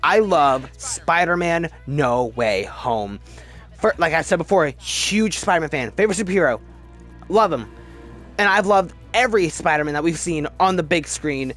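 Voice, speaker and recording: A man with a slightly high voice talks casually as a video host over a music bed. He gushes like a fan, offers plain opinions and repeats words to press his point. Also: expressive, normal speed, fairly bright tone. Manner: gushing fan enthusiasm, repeats words for emphasis. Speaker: video host ranking films.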